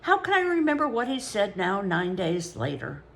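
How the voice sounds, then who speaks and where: A woman's voice: medium pitch, wildly swooping pitch, normal speed, gravelly, fairly bright tone, exaggerated voice. A woman voicing a young boy apprentice who answers in short, grudging replies. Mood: curt.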